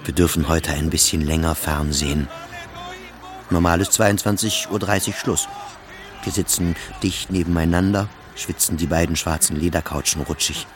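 A man's voice: sits low, moderate pace, noisy background, lightly acted. A man playing a depressed, anxious, self-loathing psychiatric patient who narrates in a confessional radio drama. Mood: weary, dazed. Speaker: narrator of an autobiographical radio play. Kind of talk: scripted first-person radio drama narration. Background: music behind the voice.

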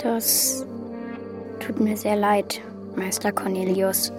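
A woman's voice: mid-range voice, level tone, takes it slowly, over loud music, close to an everyday voice. A woman playing a curious, polite, earnest young boy prince in a children's radio play.

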